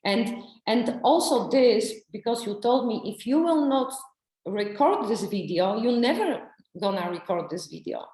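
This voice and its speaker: A woman telling her story in an interview, halting and searching for words, with many restarts. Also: fairly high pitch, animated, dark tone, roomy sound, poor audio. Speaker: coaching client. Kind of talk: testimonial interview.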